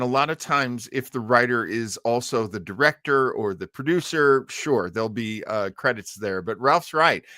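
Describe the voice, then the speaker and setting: A man with a mid-pitched voice hosts a casual livestream in a dry, close sound. He rambles chattily and jokily as he talks to his viewers, quick to chuckle. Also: fairly bright tone, no echo. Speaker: host of a live-streamed show. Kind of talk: casual live-stream chat with viewers.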